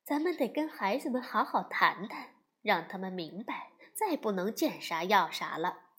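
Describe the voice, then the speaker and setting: A woman voicing a sensible mother bear who speaks in a measured, firm way. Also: medium pitch, sing-song, a bit bright. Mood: calm.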